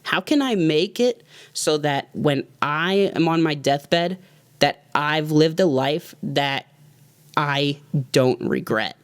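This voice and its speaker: A man's voice: mid-range voice, smooth, no room sound, studio quality. A man in his thirties, a music producer, giving an earnest, heartfelt talk to his viewers in a loose, rambling way. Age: 30s. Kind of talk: reflective motivational vlog talk.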